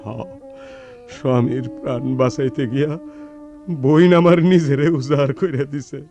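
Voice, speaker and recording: An actor playing a sorrowful, tender poor village brother in a radio drama, plaintive and tearful, with a rural Bangladeshi accent. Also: male voice, mid-range voice, moderate pace, lo-fi sound, over music, lightly acted. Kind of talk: melodramatic radio drama acting.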